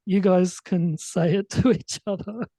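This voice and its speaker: A man's voice: high-pitched, fairly even intonation, unhurried, warm tone, no room sound. A man, a writer-director guest chatting casually in an interview, rambling and full of fillers. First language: English.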